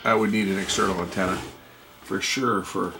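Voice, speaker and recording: A man's voice: deep voice, fairly even intonation, brisk, gravelly, background noise. A man giving casual, hesitant commentary, thinking aloud as he works hands-on at a repair job. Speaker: host of a radio repair video. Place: indoor electronics workbench.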